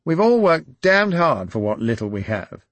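A man's voice: on the high side, big pitch swings, moderate pace, a bit bright, no room sound, voice pushed up. A man voicing a hotheaded younger aristocrat who rants emphatically. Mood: ranting. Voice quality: ranting, emphatic.

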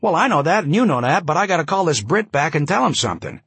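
Man doing a breathless newspaper-type press guy, quick clipped patter, gravelly. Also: on the high side, sing-song, a bit bright, no room sound, voice pushed up, heavily acted. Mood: brisk. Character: fast-talking male press secretary, old-school newspaperman.

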